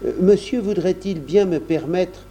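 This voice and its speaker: A man's voice: high voice, normal speed, warm tone, a little hiss or hum, voice pushed up. A man voicing a kindly, obliging manservant who speaks with courteous deference in a storybook reading. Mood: respectful request.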